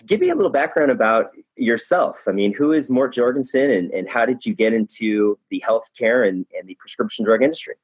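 A man's voice: medium pitch, expressive, a little fast, hardly any pauses, husky, no echo, rough recording. A man hosting a podcast interview, upbeat and chatty, often repeating words as he talks. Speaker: podcast host.

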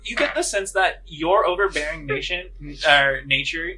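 Bright-voiced man narrating a tabletop game. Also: a little high, moderate pace, slight background noise, rough recording. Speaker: game master.

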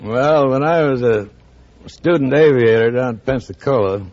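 An older man, a retired officer, answering radio interview questions in a plain, formal, measured way. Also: mid-range voice, a little mellow. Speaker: retired admiral, show guest. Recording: dull old-time radio recording.

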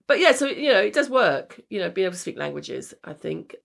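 A woman's voice: medium pitch, big pitch swings, fairly quick, creaky. A woman comedian chatting casually in an interview, rambling along in a wry, self-deprecating way. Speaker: stand-up comedian being interviewed. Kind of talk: casual chat.

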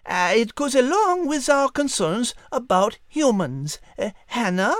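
A woman's voice: a little high, sing-song, measured pace, no room sound, clean studio sound. A woman voicing a curious, refined female animal with a French accent, polite and precise. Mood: thoughtful.

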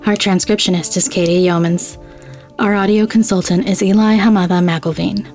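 Even-toned woman host reading over faint music. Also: mid-range voice, moderate pace. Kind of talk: scripted narration of credits.

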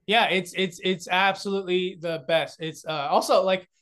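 Young korean comedian guy talking fast and loose, highish voice, lots of like and uh. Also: clean tone. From South Korea. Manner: animated, many restarts and fillers, acts out voices. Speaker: guest, comedian and beatboxer. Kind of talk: casual chat.

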